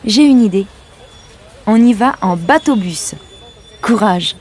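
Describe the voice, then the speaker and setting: An actress playing a brisk, bossy young woman in a clearly enunciated language-lesson dialogue. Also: mid-range voice, lively intonation, darkish tone. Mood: cheerful, coaxing. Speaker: actor in French language-course dialogues.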